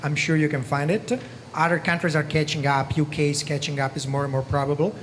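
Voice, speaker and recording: A man's voice: fairly high pitch, little pitch movement, a little fast. A man in his thirties from Italy with an Italian accent, speaking on a conference panel into a handheld mic, earnest and repeating "okay?" often. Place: large conference hall stage. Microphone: handheld microphone. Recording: reverberant hall with background noise.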